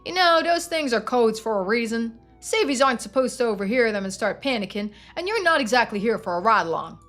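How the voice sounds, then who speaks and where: A man's voice: very high voice, lively intonation, fairly quick, sharp tone, exaggerated voice. A woman voicing a stern, sardonic male police deputy. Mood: stern, exasperated.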